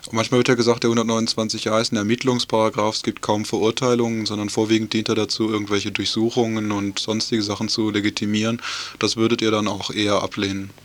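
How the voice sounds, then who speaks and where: A man's voice: lowish voice, level tone, quick pace. A man working as a radio interviewer and news presenter, asking questions and reporting.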